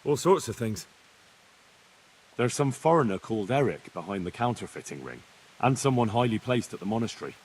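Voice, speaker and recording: An actor playing a dutiful young squire who speaks earnestly in a video game cutscene. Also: male voice, medium pitch, sing-song, fairly quick, a little mellow, slightly rough, low-quality recording.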